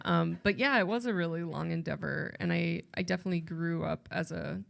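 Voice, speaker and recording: A woman's voice: lowish voice, fairly quick, creaky. A woman translator speaking on a panel at a library event, thoughtful and rambling, often correcting herself mid-sentence. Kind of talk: casual panel talk.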